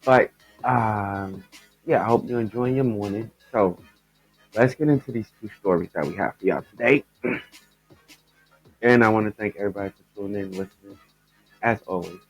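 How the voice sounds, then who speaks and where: A man hosting his own podcast, his voice raspy and stuffed up, chatting loosely and rambling as he talks straight to his listeners about the news. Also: lowish voice, normal speed, long pauses, faint music behind, low-quality recording. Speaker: podcast host. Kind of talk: casual commentary on news stories.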